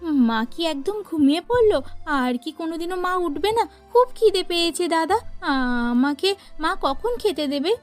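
A woman's voice: very high-pitched, animated, measured pace, soft background music, voice pushed up. A woman voicing a tearful, hungry little girl of about five in a cartoon, whining and plaintive. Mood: tearful, confused.

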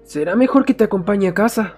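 A man's voice: high voice, fast, darkish tone, faint music behind, close to an everyday voice. A man with a Latin American Spanish accent voicing a kind, concerned teenage boy in a fan comic dub.

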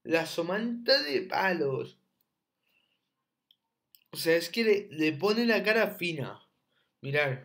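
Young guy reacting on webcam, kinda high voice, keeps yelling toma chaval style stuff. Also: expressive, slow pace, pauses often. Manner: mocking, repetitive exclamations. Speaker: reaction video host. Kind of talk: casual reaction commentary.

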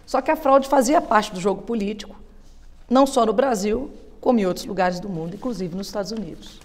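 A woman's voice: mid-range voice, a little fast. Woman, 50s, historian guest; fluent, explanatory; lapel mic, studio reverb. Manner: steady, fluent, explanatory, with frequent tag questions. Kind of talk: explanatory interview answers. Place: television studio.

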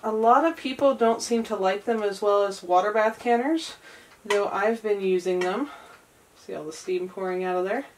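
A woman's voice: mid-range voice, normal speed. An American woman in her thirties giving a casual, chatty kitchen demonstration with rambling asides. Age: 30s. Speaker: vlogger demonstrating home canning. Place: small home kitchen. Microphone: camera a few feet away. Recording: distant camera mic, clearly noisy room.

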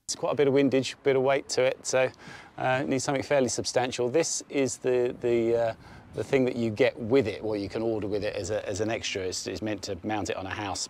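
A man's voice: mid-range voice, darkish tone. A man in his fifties giving a casual, informal explanation to camera on a lapel mic, thinking aloud as he goes. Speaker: sailing vlogger.

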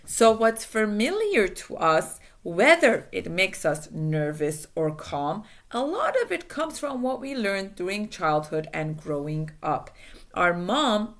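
A woman's voice: medium pitch, big pitch swings, slow pace. Earnest woman, native Farsi speaker. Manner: earnest, repeats phrases, gestures a lot. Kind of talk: self-help talk to camera. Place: small indoor room.

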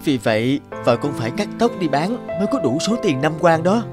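A man voicing a poor, devoted young peasant husband in an animated folk tale, polite and earnest. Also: a little high, brisk, music in the background.

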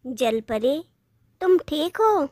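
A young forest fairy from a storybook, voiced by a woman. She is concerned and helpful, with a high, slow-paced voice and a dry, close sound. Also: very animated, mellow, no echo, exaggerated voice.

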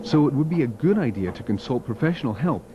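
A man's voice: medium pitch, very animated, very slow, background noise. A man narrating a scripted documentary as its narrator. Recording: dull lo-fi video soundtrack. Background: background music.

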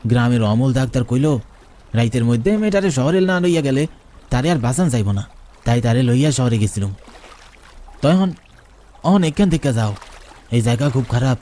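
Man acting a fearful rustic Bengali villager, mellow-voiced. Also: mid-range voice, expressive, moderate pace, long pauses, lightly acted. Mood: urgent warning. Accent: rural eastern Bengali dialect. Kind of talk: cartoon horror dialogue.

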